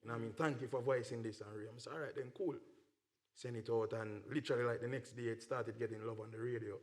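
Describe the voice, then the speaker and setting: A man's voice: medium pitch, steady tone, fast, darkish tone. A Jamaican man, a dancehall artist, chatting casually in an interview in slangy, emphatic talk peppered with "you know".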